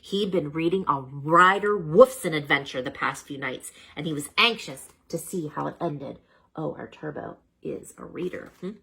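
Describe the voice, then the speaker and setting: A woman reading a children's storybook aloud as a storyteller, dramatic and expressive, with chatty asides to the listeners. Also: deep voice, moderate pace, creaky, a little hiss or hum, low-quality recording.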